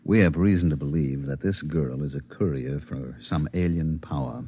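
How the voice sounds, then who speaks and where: An actor playing a formal, persuasive government security chief in a 1950s radio drama, speaking deliberately and pressing his case. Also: extremely low pitch, warm tone, dull audio, a little hiss or hum. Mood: official, persuasive.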